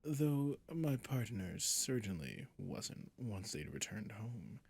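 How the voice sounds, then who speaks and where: Guy doing a cruel seductive vampire for asmr, slow n breathy, half whispering, smug and kinda bored of the world. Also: lowish voice, sing-song, darkish tone, no echo, full character voice. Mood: smug, persuasive. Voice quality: breathy, husky, gravelly, often dropping to a whisper. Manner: slow, savouring, condescending intimacy. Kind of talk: scripted ASMR roleplay monologue.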